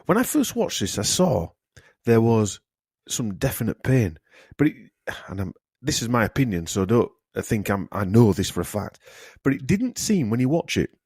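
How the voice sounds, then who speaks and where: A man's voice: medium pitch, big pitch swings, normal speed, few pauses, darkish tone, breathy edge, no echo, clean studio sound. A man hosting a podcast, talking in an emphatic, opinionated way during a casual conversation. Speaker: podcast host. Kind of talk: casual conspiracy chat.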